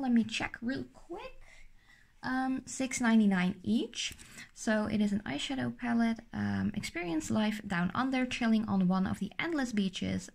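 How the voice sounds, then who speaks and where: A woman's voice: on the high side, vocal fry. Young woman, Dutch native, sore-throated beauty vlogger; casual, rambling delivery with plenty of fillers. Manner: chatty, rambling, lots of fillers. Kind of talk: casual product unboxing.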